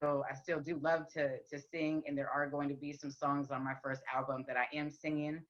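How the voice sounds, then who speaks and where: A woman with a deep, raspy voice, talking candidly as a podcast guest with frequent ums and restarts. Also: level tone, moderate pace, muffled recording. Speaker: podcast guest, rapper and singer. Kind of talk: casual interview chat.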